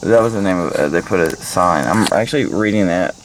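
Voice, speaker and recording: A man's voice: low voice, expressive, brisk, poor audio. An American man in his thirties giving a rambling, casual book report with heavy swearing and bits read aloud, recorded on a handheld camera in a car. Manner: crude, heavy swearing, irreverent, scattered. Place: inside a parked car. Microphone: handheld phone or camera at arm's length. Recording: handheld recording with faint background noise.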